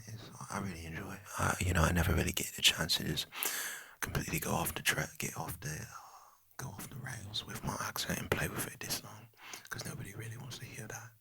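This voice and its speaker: A man's voice: sits low, fairly quick, gravelly. Whispering man with a put-on British accent. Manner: soft whispering, rambling and easily sidetracked. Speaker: ASMR creator. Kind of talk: rambling ASMR whisper chat.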